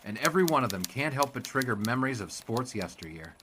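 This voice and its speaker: An actor playing a genial newspaper sports writer reminiscing, in a scripted advert styled as audio drama. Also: male voice, medium pitch, very animated, fast, a bit bright, slight background noise. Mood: genial, nostalgic. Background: music and typewriter.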